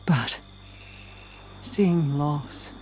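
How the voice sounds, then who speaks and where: Higher-voiced, wise immortal counselor. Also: male voice, very slow, darkish tone, faint music behind. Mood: sorrowful, reflective. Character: ancient, wise, sorrowful male immortal counselor. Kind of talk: cinematic video game acting.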